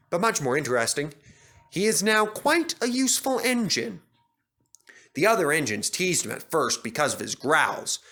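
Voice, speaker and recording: Animated male storybook narrator, bright voice. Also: a little high. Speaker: narrator reading a children's story. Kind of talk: expressive scripted storybook narration.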